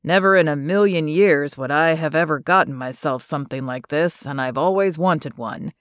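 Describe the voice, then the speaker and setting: A woman voicing an earnest, apologetic young man in an audiobook romance, lowering her voice and speaking quickly and sincerely. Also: dry recording, studio quality, close to an everyday voice.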